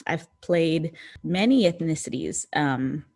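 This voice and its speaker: A woman's voice: deep voice, animated, slow, gravelly, darkish tone. A woman from the Bronx, talking things through earnestly as a podcast guest. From New York City, the Bronx. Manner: reflective and earnest, thinks aloud with fillers. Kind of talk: casual conversation.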